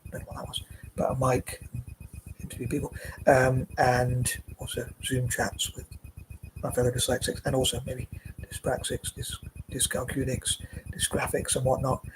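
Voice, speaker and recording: A man talking in a casual vlog monologue, rambling and halting with many fillers and restarts, in a flat, monotone way. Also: mid-range voice. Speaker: vlogger. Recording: steady background noise.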